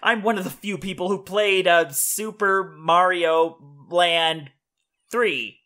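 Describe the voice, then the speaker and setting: A man's voice: sits high, takes it slowly, pushed and bright, exaggerated voice. A man voicing a breezy, joking artist in a fan cartoon, with a flippant, casual manner. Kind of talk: fan cartoon comedy voice acting.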